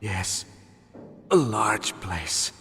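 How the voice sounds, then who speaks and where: An actor voicing a dying, murderous masked psychic villain in a video game, with breathy, strained whispering and a halting, theatrical delivery. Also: male voice, lowish voice, lively intonation, sharp tone, soft background music, heavily acted. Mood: weary, wistful. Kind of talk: dramatic video game acting. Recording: long echoing reverb, faint noise.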